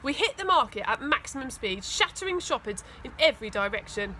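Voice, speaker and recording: A woman reads a children's story with dramatic emphasis. Her voice is bright and fairly high, swinging widely in pitch, with faint noise in the background. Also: big pitch swings, fairly quick. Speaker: school teaching assistant reading aloud. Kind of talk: animated children's story reading.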